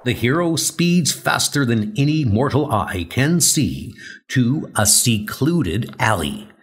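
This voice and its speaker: A man's voice: medium pitch, very animated, takes it slowly, husky. A man narrating a scripted comic-book story in a dramatic style.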